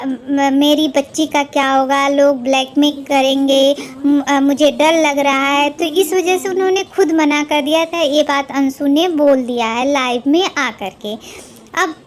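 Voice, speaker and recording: A woman vlogger giving informal gossip commentary in a halting, repetitive way. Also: high voice.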